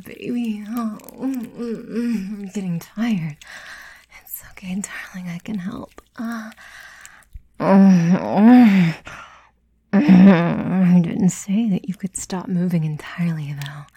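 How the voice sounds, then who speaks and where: A woman whispering intimately in an erotic audio style, breathy and sultry, with slow murmurs and moans. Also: medium pitch, warm tone.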